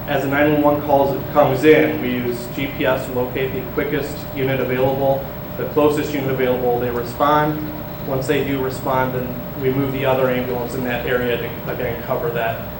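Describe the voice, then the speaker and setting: A man, an ambulance company manager, answering questions at a city meeting, explaining plainly with many fillers. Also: medium pitch. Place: city council chamber. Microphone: distant room microphone. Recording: echoey hall, distant mic, hum.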